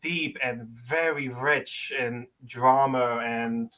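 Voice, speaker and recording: Candid male caller, mid-pitched voice. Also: takes it slowly, fairly bright tone, low-quality recording. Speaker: auditioning caller. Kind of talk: casual explanatory chat.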